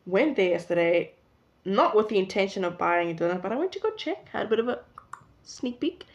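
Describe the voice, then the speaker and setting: A woman's voice: medium pitch, lively intonation, fairly quick. A young woman in her late teens with a South African accent, chatting casually to camera in a rambling, self-interrupting way. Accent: South African English. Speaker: vlogger. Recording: dull lo-fi recording.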